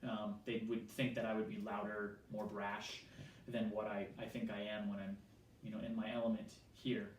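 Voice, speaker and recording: A young American man from Southern California, with an accent softened by years in New Zealand, answering interview questions in a measured way with frequent ums. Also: lowish voice, flat delivery. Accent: American, softened toward New Zealand. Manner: measured, frequent ums, quotes others with animation. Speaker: interviewee, church worker. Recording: echoey room, mic at a distance, faint hiss.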